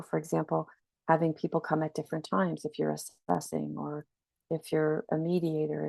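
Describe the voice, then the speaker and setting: A woman's voice: low-pitched, creaky, mellow, slightly rough, no echo. A woman with a slight cold, giving a webinar training presentation in an earnest, explanatory way. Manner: earnest, explanatory, frequent restarts. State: has a cold, slightly scratchy.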